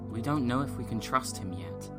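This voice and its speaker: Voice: medium pitch, lively intonation, moderate pace, over loud music, close to an everyday voice. A performer playing an earnest, guilt-ridden young agent in a fan audio drama, anxious but resolute, sighing and pleading. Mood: pensive.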